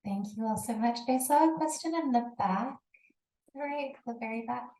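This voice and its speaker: A woman moderates a Q&A, brisk and polite as she calls on people. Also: fairly high pitch, mellow, slight background noise. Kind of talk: hosting a Q&A. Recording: roomy echo.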